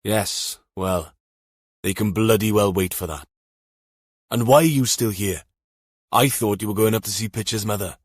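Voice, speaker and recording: A man voicing a gruff, irritable senior police detective, curt and muttering, in a thriller audiobook. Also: lowish voice, moderate pace, long pauses, no room sound, studio quality, close to an everyday voice.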